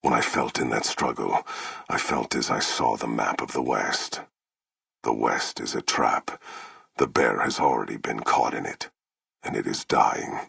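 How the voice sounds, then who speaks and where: An actor playing a grim, proud warlord commander in a video game, with a gravelly, husky, rumbling voice and a solemn, commanding, formal delivery. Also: male voice, unhurried, a bit bright, dry recording, clean studio sound, full character voice. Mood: brooding. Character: towering, menacing male warlord commander, proud, grim, honor-bound. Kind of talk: theatrical video game villain acting.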